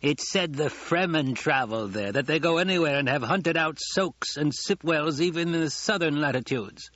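A man's voice: on the high side, expressive, normal speed, fairly bright tone, no echo, highs cut off, pitched above the performer's own voice. A man voicing a male diner who talks thickly around a mouthful of food in an audiobook. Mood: matter-of-fact. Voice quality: mouth-full, thickened speech. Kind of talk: audiobook character acting.

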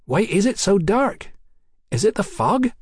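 A man voicing a high-pitched cheeky London showgirl. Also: very animated, unhurried, husky, no echo, clean studio sound, exaggerated voice. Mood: curious, cheeky. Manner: cheeky, blunt, colloquial.

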